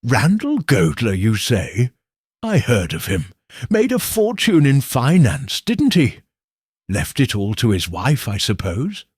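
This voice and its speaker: A man voicing a shrewd, polite elderly lady sleuth who probes gently in a mystery audiobook. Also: medium pitch, huge pitch swings, slow, breathy edge, no room sound, clean studio sound, heavily acted. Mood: politely curious.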